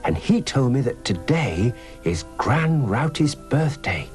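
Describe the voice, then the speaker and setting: A man reading a children's story aloud, voicing a mother in a hushed, slightly hesitant way. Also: medium pitch, big pitch swings, slow pace, soft background music, voice pushed up. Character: busy mother planning a secret.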